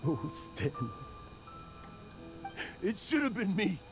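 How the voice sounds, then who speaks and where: An actor playing an aging, guilt-ridden male adventurer in a video game, mournful and dramatic with heavy pauses. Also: high voice, very animated, heavily acted. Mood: anguished. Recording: game music behind the voice.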